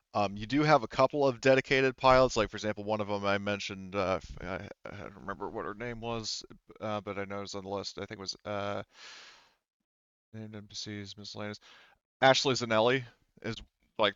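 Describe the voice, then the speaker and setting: A man explaining things as a tabletop game master, halting and thinking aloud in a casual way, on slightly dull audio. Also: lowish voice, a bit bright, clear, resonant tone, no echo.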